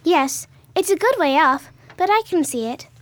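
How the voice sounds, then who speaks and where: A woman playing an eager, caring young girl adventurer in a radio play, earnest and expressive. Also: very high voice, normal speed. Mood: curious concern. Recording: clearly noisy background.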